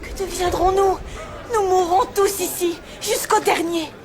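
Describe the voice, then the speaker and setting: An actress playing a worried, devout sister of a prophet in a Bible cartoon, anxious and emotional. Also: female voice, very high voice, fairly even intonation, a little slow. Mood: fearful. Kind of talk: animated Bible film acting.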